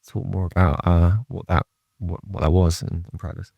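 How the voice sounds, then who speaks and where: A man with a fairly low voice hosts a casual interview podcast. He sounds understated, feeling his way haltingly through questions, in a close, dry recording. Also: little pitch movement, creaky, husky, dry recording, dull audio.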